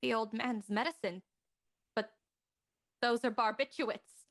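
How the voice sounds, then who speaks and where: A woman acts out an anxious house guest in a murder mystery radio play. The voice is fairly high, alarmed and full of doubtful questions. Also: fairly even intonation, unhurried, a bit bright. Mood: worried, doubting. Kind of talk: audio thriller acting.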